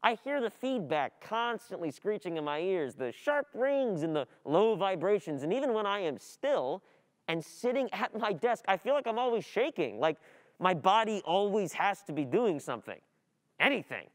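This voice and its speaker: Anxious, distracted young student, performed by an actor, mid pitch, halting and repetitive, radio play monologue. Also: big pitch swings, close to an everyday voice. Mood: anxious, overwhelmed.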